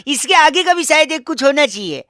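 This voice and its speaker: A man's voice: extremely high pitch, big pitch swings, fairly quick, bright, forceful tone, a little hiss or hum. A man hosting radio prank calls, talking in a teasing, insistent, mock-polite way and sometimes reciting comic verse. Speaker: radio prank-call host.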